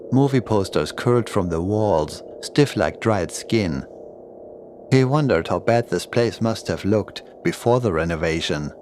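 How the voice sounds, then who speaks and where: Male horror narrator, lower-pitched, scripted storytelling delivery, clear music bed underneath. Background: creepy music and sound effects.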